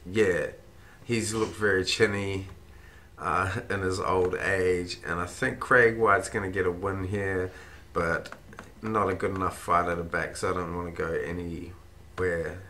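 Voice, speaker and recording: Croaky, run-down low-voiced man. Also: sharp tone, slight background noise. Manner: rambling, swears freely, thinks aloud with ums. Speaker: fight-pick vlogger. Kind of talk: casual fight predictions monologue.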